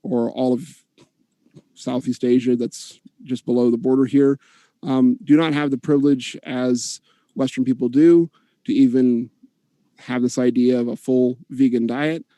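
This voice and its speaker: A man earnestly giving his opening statement in an online debate, steady with occasional fillers. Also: mid-range voice, unhurried, dry recording.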